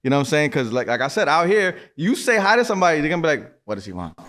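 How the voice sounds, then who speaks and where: Fast-talking young New York man. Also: fairly high pitch, big pitch swings, fairly bright tone. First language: Spanish. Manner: quick, stuttering restarts, loose and profane. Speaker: podcast host. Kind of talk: casual podcast chat.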